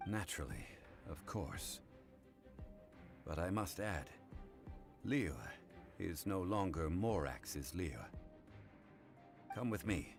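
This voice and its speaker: An actor voicing a calm, dignified male scholar-god in a game, speaking in a measured, grave and commanding way. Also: sits low, exaggerated voice. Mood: composed. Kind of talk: game voice acting. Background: game music.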